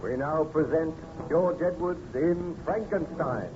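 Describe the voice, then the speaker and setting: A man announcing and narrating in a formal, measured old-time radio style. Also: on the high side, loud music behind the voice. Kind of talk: 1930s radio scripted narration. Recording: muffled, noisy old radio recording.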